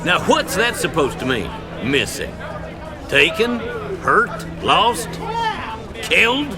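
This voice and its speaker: A man's voice: high-pitched, wildly swooping pitch, takes it slowly, full character voice. An actor playing a cantankerous, suspicious small-town man in an audio drama, combative and folksy. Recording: loud music and crowd behind.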